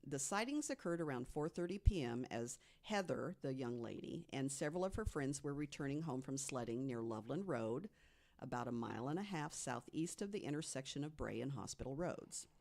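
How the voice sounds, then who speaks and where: Podcast panelist, mid-range pitch, reading prepared stories, conversational asides. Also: animated, brisk, vocal fry, darkish tone.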